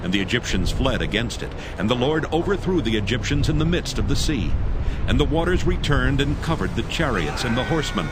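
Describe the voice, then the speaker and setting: A man narrating a dramatized Bible in a solemn, measured, scripted style. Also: lowish voice, heavy background noise. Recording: loud music under the narration. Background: loud music.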